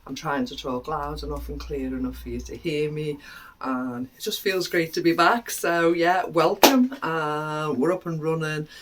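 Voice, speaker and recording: A British woman vlogging in a casual, chatty way to camera, a little snuffly from a cold, pausing often with "um" and letting her voice drop quietly at times. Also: low voice. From United Kingdom. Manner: chatty, many "um" pauses, trailing off quietly.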